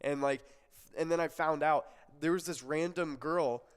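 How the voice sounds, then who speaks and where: A young man from Texas co-hosting a live bingo stream, chatting in a lively, rambling way full of "like" and restarts. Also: a little high, measured pace, clean tone. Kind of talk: casual chat and game announcing.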